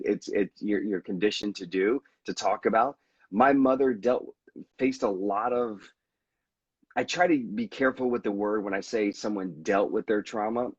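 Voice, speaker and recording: A man with a husky, lowish voice tells his own story in an earnest, reflective way. He often stumbles and restarts a sentence. The sound is dry and close, with the dull, lo-fi quality of a video call. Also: no echo. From United States. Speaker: veteran guest. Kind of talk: candid conversational storytelling.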